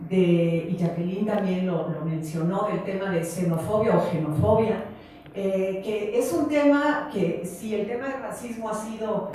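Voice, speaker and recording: A woman with a Mexican accent introducing a speaker at a conference in a semi-formal, hesitant way, often pausing mid-phrase. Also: mid-range voice, dark tone. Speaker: event moderator introducing a speaker. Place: large echoey conference room. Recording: echoey hall, distant mic, faint noise.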